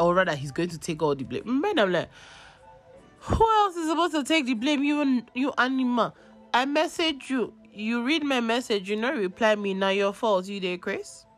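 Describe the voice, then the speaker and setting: A young Nigerian woman with a cold, losing her voice, hosting a podcast and telling a story in a chatty, animated way. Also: mid-range voice. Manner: chatty, animated, acts out texts in quotes. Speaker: podcast host. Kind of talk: casual storytelling.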